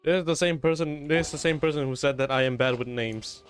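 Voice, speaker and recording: A man's voice: on the high side, brisk. Laid-back Malaysian man streaming a game. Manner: laid-back, mutters while playing. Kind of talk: casual live-stream commentary. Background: game music.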